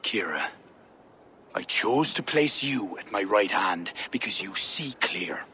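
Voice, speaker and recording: A man's voice: on the low side, lively intonation, slow, pushed and bright, slight background noise, full character voice. A man playing a stern, suspicious king in a video game, imperious and dramatic in his delivery. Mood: suspicious, brooding.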